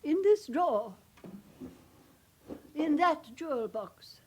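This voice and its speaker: An actress playing a genteel, anxious elderly titled widow in a radio drama, speaking politely and hesitantly, with a wistful manner. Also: female voice, sits high, sing-song, slow, darkish tone, a little hiss or hum, exaggerated voice. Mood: polite, guarded. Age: older. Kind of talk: naturalistic British radio drama acting.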